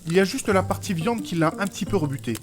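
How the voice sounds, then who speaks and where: A man's voice: sits high, animated, quick pace. A man playing a reserved, polite and long-suffering scholar in a comic fantasy audio drama, formal, hesitant and a little exasperated. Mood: explanatory.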